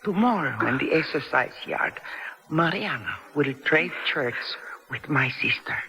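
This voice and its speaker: Radio drama: conspiratorial woman prisoner, Spanish accent, forward bright tone, careful English. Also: sits low, lively intonation, unhurried, dull audio, full character voice. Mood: conspiratorial, resolute. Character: Latin American woman prisoner, conspiratorial and hopeful. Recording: 1970s radio drama recording.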